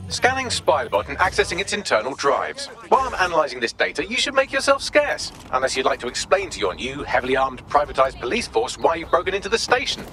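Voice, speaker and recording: Quick, bright, sardonic British AI assistant. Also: male voice, fairly high pitch, very animated. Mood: brisk, dry. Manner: sardonic, precise, wordy. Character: dry, witty male AI assistant. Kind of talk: game voice acting, mission briefing.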